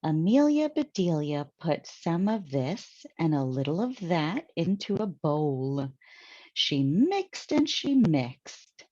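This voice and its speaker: Slow, mellow American woman reading a storybook. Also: deep voice, sing-song, dry recording. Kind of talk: children's read-aloud narration. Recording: dry, slightly dull close recording.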